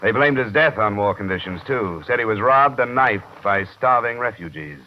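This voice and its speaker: Actor as deep-voiced American radio investigator, muffled recording. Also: male voice, lively intonation, moderate pace, slight background noise, natural voice. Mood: businesslike. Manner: clipped, matter-of-fact, dry. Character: tough, world-weary freelance insurance investigator. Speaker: lead actor in a radio detective series. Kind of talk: 1950s radio drama acting, first-person narration and dialogue.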